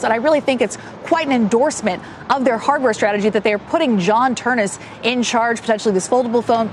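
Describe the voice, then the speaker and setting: A woman's voice: a little high, slight background noise. An American woman giving a live broadcast business news report in a polished, articulate way. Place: on location at a company headquarters.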